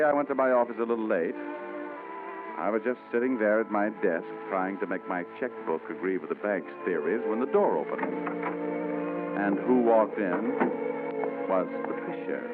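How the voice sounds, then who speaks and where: Guy doing a wry hardboiled detective, low voice swinging all over, tinny old radio with music under it. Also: lightly acted. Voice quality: wry, flowery wisecracks. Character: wisecracking hardboiled male private eye. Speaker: detective narrator. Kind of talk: 1940s radio acting.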